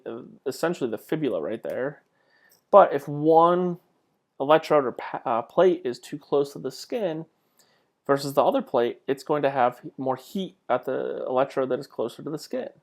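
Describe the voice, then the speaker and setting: Conversational male instructor giving a lecture. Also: medium pitch. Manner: conversational, asks rhetorical questions. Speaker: college instructor. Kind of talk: informal narrated lecture over slides.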